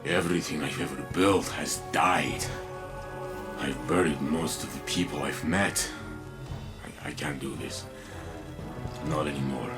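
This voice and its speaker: A man voicing a weary, grief-worn robot warrior in a video game, gravelly, somber and halting. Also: fairly even intonation. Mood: weary, sorrowful. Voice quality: very deep, gravelly. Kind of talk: cinematic video game voice acting. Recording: music behind the voice.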